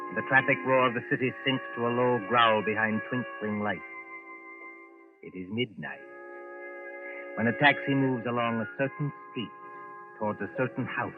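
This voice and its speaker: An actor playing an ominous storyteller, narrating a 1940s radio drama in a deliberate, theatrical manner. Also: male voice, lowish voice, close to an everyday voice. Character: ominous storyteller setting a midnight scene. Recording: tinny old radio recording, music behind.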